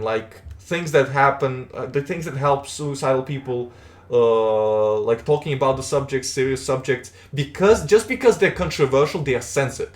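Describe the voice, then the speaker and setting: Rambling young streamer. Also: male voice, medium pitch. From Latvia. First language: Russian. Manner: rambling, chatty, many restarts. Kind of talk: casual live-stream chat while gaming. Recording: roomy echo.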